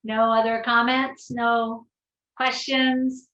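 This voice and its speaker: A woman moderating a live-streamed panel, chatty and filling pauses as she talks. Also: fairly high pitch, flat delivery, bright, forceful tone. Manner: chatty, many fillers. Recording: dull, echoey, distant audio.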